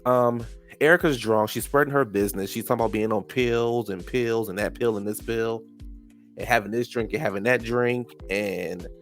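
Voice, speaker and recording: A man's voice: mid-range voice, normal speed, soft background music. A man with a stuffed-up nose giving a chatty, sassy recap of a reality show, calling people "child" and "honey". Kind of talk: casual recap commentary.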